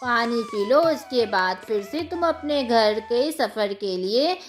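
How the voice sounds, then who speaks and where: A woman voicing a kind, patient old village woman in a cartoon moral story, coaxing gently. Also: fairly high pitch, lively intonation, slow, pitched below the performer's own voice. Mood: kindly. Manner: gentle, coaxing, addressing the listener as child. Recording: music behind the voice.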